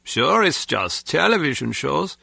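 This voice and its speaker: A man's voice: on the high side, big pitch swings, unhurried, creaky, pushed and bright, slightly rough, dry recording, exaggerated voice. An actor playing a nervous, prim and polite criminal henchman who speaks formally in a video game. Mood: uneasy. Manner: formal, precise wording.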